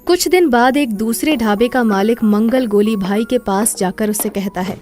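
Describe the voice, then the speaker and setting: A woman's voice: on the high side, normal speed, a little mellow. A woman narrator reading a scripted moral story.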